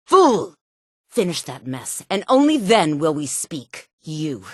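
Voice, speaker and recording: Sultry, low-voiced devoted assassin. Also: female voice, breathy edge, no echo, studio quality, full character voice. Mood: angry. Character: devoted, sultry female assassin, obedient to her leader. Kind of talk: fantasy video game voice acting.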